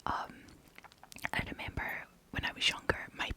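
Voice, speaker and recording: A storyteller reading folk tales in a breathy, unvoiced ASMR whisper, gently and expressively. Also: moderate pace. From United Kingdom.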